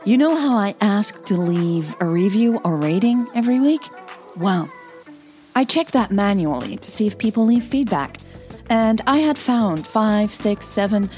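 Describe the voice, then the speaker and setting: A woman with a soft, breathy voice, speaking as a podcast host and storyteller. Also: mid-range voice. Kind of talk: scripted story narration and listener thanks.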